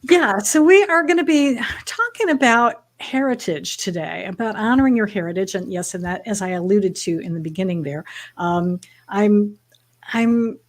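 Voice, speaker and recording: Upbeat woman hosting a talk show. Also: medium pitch. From Michigan. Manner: upbeat, chatty, with frequent restarts. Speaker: show host.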